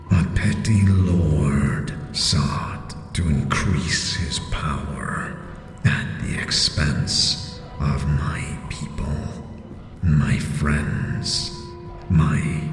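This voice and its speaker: A man voicing a solemn, sorrowful cursed spirit in a stone face, speaking gravely and haltingly in an audio drama. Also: very deep voice, pitched below the performer's own voice, heavily acted. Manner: slow, halting, grave delivery with long pauses. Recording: cavernous long reverb, music behind. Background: music.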